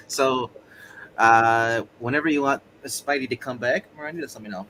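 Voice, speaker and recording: A man's voice: medium pitch, fairly even intonation, normal speed, pushed and bright, a little hiss or hum, low-quality recording. A man chatting casually as a player in an online tabletop roleplay game.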